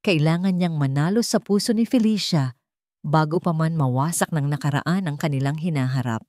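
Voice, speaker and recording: A woman narrating a scripted dramatic story in measured, short phrases. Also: deep voice, darkish tone, no echo, studio quality.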